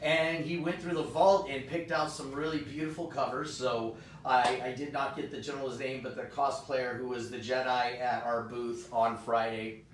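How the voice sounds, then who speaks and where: A man, host of a live comic shop stream, chatting upbeat and rambling with many fillers, his voice hoarse and partly gone. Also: little pitch movement, moderate pace, distant mic. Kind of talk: casual live-stream chat. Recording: roomy, off-mic, faint rumble.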